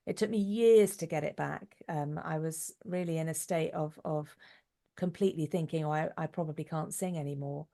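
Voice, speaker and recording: A woman's voice: deep voice, moderate pace, creaky, dark tone. A middle-aged woman giving articulate, reflective answers in a conversational interview. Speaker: interview guest, actress, writer and singer.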